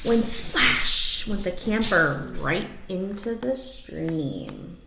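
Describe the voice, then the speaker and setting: A woman reading a rhyming picture book aloud to young children as an animated storyteller, stretching key words and adding asides and questions for the listeners. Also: fairly low pitch, very slow, gravelly. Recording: roomy echo, faint background noise.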